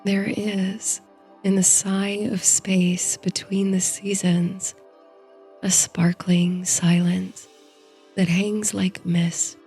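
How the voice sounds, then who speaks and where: Slow, hushed woman narrating softly. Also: medium pitch, flat delivery, faint music behind. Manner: soothing, hushed, breathy delivery. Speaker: host and narrator of a sleep story series. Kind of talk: soft scripted bedtime story and guided meditation narration.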